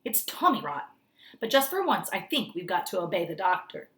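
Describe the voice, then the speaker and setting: A feisty old grandmother from a storybook, acted out by a woman reading aloud. She is blunt and stubborn, scolding with indignant emphasis, and her pitch moves around a great deal. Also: very animated, normal speed, a bit bright, echoey room. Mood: dismissive. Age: older.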